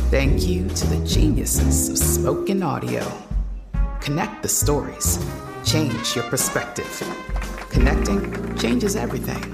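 A low, gravelly woman reads a rhyming ad in a slow, lilting rhythm, her pitch rising and falling widely. Loud plucked guitar music plays under her voice in a roomy space. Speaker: ad narrator. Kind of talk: scripted ad voice-over over music.

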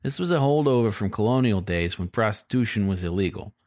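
A man's voice: on the low side, animated, fairly quick, darkish tone, natural voice. A man voicing a sly, frustrated male fox who narrates his story in a wry, confiding, colloquial way, as in an audiobook.

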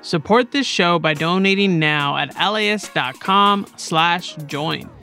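A woman's voice: lowish voice, expressive, unhurried, pushed and bright, soft background music. An American woman co-hosting a podcast, reading scripted credits and announcements. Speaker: podcast co-host.

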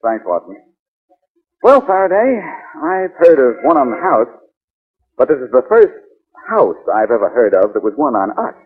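A man's voice: mid-range voice, sing-song, normal speed, pauses often, close to an everyday voice. A male actor playing a cool, confident, wisecracking amateur detective with wry, needling delivery in a 1940s radio drama. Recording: muffled, narrow-band 1940s radio recording.

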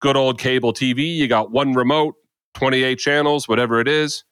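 A man's voice: mid-range voice, fairly even intonation, normal speed, fairly bright tone, clear, resonant tone, no echo, studio quality. A man co-hosting a podcast, telling a story in casual chat, laughing and acting out the quotes. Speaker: podcast co-host.

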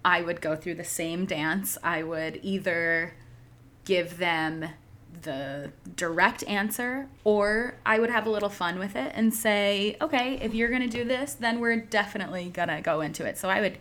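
A woman's voice: mid-range voice, moderate pace, fairly bright tone. A young adult woman from outside Philadelphia, co-hosting a podcast and telling candid, emphatic stories in casual chat.

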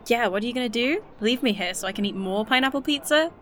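A woman's voice: fairly high pitch, expressive, quick pace, fairly bright tone, a little hiss or hum. An actress playing a blunt, joking and curious woman in the 1980s, in an indie audio drama.

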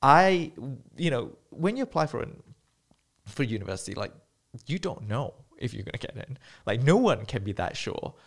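A man's voice: mid-range voice, big pitch swings, normal speed, vocal fry. A man from Malaysia hosting a podcast, telling his own story in an earnest, conversational way. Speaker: podcast host. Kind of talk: casual interview talk.

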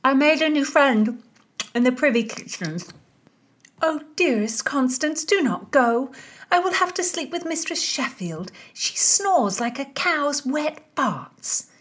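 A woman voicing a greedy, plump young lady-in-waiting with a high, drawn-out whine in an audiobook. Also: expressive. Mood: teasing.

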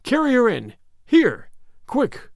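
Man acting alarmed, high-pitched gentleman. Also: huge pitch swings, slow, creaky, no echo, clean studio sound, heavily acted. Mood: urgent. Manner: urgent, exclamatory. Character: alarmed gentleman at a seance, urgent and commanding. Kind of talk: dramatic audiobook character reading.

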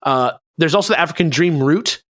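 A man's voice: on the high side, animated, fairly quick, a bit bright, no echo. Casual, rambling male podcast host, close dry sound. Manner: rambling, conversational, hedging with "like". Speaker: podcast host presenting a researched topic. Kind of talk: casual explanatory chat.

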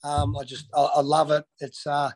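A man's voice: a little high, completely flat delivery, moderate pace, a little mellow. An Australian man from Western Australia in his thirties, answering interview questions casually with many ums and restarts. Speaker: interviewee, former footballer.

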